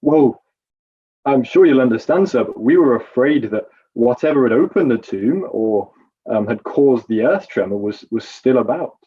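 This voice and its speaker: A man's voice: mid-range voice, lively intonation, moderate pace, breathy edge, muffled recording. A man playing a nervous, evasive Roman guard corporal testifying in a courtroom drama, hesitant and deferential. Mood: evasive, uneasy.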